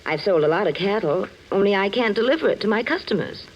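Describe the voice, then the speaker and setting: A woman's voice: mid-range voice, expressive, fast talker, natural voice. An actress playing a polite, determined young woman rancher in a 1940s radio drama. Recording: muffled, hissy old 1940s radio recording.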